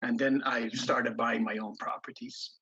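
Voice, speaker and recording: A man in his fifties from Iran talks through his past as a podcast guest, pausing often with ums and restarts. His voice is husky and mid-pitched. The recording sounds dull and lo-fi. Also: level tone, bright, forceful tone. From grew up in Iran, born in the US. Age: 50s. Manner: halting, many ums and restarts. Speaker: guest investor. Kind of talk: casual interview storytelling.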